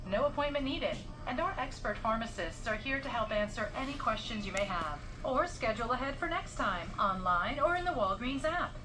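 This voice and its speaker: A woman's voice: fairly high pitch, expressive, normal speed, few pauses. A woman reading a scripted promotional announcement, heard through a store intercom speaker. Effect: played through store intercom speaker. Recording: intercom announcement captured in store, music behind.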